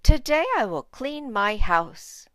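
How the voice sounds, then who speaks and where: A woman voicing a brisk, house-proud farmer's wife in a storybook. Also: on the high side, lively intonation, bright, forceful tone, no room sound, clean studio sound, full character voice. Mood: brisk, resolute.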